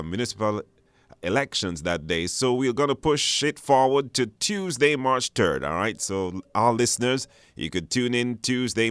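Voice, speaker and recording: A man with a Belizean accent hosting a live radio talk show, speaking in a halting way with repeated phrases. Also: on the low side, fairly bright tone, clean tone, no room sound. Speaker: radio host. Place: radio studio.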